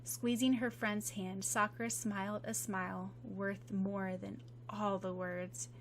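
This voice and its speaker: A woman narrating a fan-fiction audiobook in a soft, even reading voice that drops low at the ends of lines. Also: slow, slight background noise.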